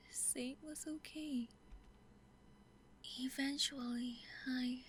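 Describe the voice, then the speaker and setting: An actress playing a gentle grieving mother in a game, speaking in a breathy, halting near-whisper. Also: sits high, slight background noise, low-quality recording. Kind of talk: dramatic monologue in a game.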